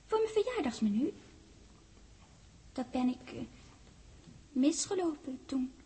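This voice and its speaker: An actress playing a lively, bossy ten-year-old girl in a childlike, chatty way, in 1960s Dutch radio drama style. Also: high-pitched, measured pace. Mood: eager, demanding. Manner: childlike, chatty, searching for words. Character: lively, bossy little girl on her tenth birthday. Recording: husky-free vintage 1960s radio recording.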